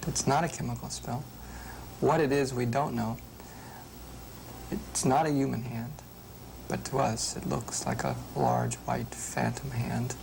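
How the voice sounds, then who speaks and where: A man with a creaky voice recounting ghost stories as a researcher. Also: mid-range voice, lively intonation, a little slow, long pauses, slight background noise, rough recording. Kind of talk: ghost storytelling interview. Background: loud music.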